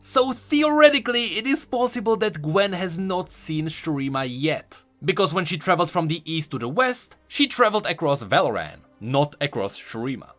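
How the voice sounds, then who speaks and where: A man's voice: on the high side, very animated, moderate pace, few pauses, fairly bright tone. A man giving emphatic, explanatory scripted commentary on video game lore as an online host. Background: background music.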